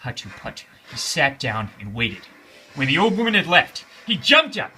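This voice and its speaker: Very bright, animated male storyteller. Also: medium pitch, normal speed, slight background noise. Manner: theatrical, emphatic, repeating phrases for suspense. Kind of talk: dramatic children's bedtime story narration.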